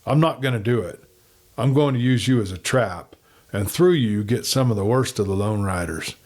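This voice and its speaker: A man voicing a shrewd, hard, steady lawman in a western story, with a blunt, commanding manner. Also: fairly low pitch, normal speed, close to an everyday voice.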